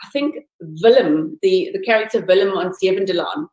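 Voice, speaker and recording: A woman's voice: medium pitch, expressive, brisk, a little mellow, reverberant, low-quality recording. A woman speaking as a guest director and actress, chatty and self-deprecating, with frequent restarts. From South Africa. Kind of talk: casual interview chat.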